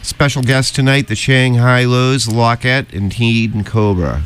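Boston-accented male radio host, easygoing, smooth tone, mid pitch. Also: unhurried, soft background music. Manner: relaxed, chatty, laughs easily. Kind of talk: casual radio interview.

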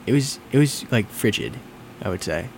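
A young man with a fairly low voice chats casually and leads the conversation. He talks in an informal, conversational way. A faint hum of noise sits behind him. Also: animated, normal speed, a little mellow. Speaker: conversation leader asking questions. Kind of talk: casual chat. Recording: noisy room with air-conditioning hum.

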